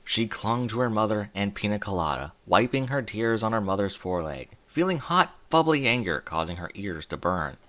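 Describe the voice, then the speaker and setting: A man reading a fan fiction story aloud as narrator, telling it expressively with wide swings in pitch. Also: expressive, normal speed, few pauses, fairly bright tone.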